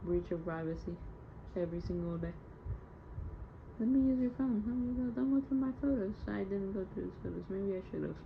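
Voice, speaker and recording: A woman's voice: medium pitch, brisk, gravelly. A young woman recording a casual, confessional audio diary, rambling candidly in slangy speech and sounding hurt and downcast. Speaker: audio diarist. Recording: muffled lo-fi home recording, faint noise.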